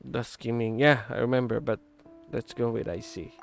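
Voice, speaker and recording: A man's voice: mid-range voice, fairly even intonation, moderate pace, vocal fry. A man who streams games, giving casual live reaction commentary as he plays. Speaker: gaming streamer reacting to a game. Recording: voice over game music.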